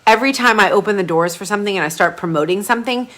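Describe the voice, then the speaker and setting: A woman's voice: medium pitch, rapid-fire. Gushing woman coaching a live group. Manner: effusive, gushing bursts of excitement; casual, chatty, with frequent fillers. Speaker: brand growth coach.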